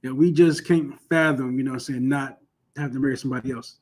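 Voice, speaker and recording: A man leads an informal online Bible lesson, talking to his listeners in a loose, conversational way. His voice is husky and sits at a middle pitch, and he drives his points home by repeating them and checking in with "you know what I'm saying.". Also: fairly even intonation, quick pace. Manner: emphatic, repeats points for stress. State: starting to lose his voice. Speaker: Bible class teacher.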